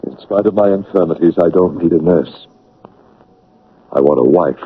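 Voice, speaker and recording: A man playing a brooding, proud, blinded English gentleman in an old radio drama, with theatrical, halting delivery. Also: low voice, husky. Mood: bitter, yearning. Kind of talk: 1970s-80s radio drama acting. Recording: narrow, tinny old radio broadcast.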